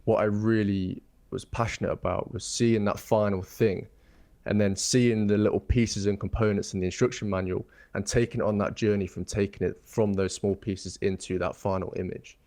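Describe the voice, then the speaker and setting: Young man, low voice, rambling. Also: fairly even intonation, moderate pace, a little mellow. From England. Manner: thoughtful, rambling, many ums and restarts. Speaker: guest architect. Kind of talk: casual interview chat.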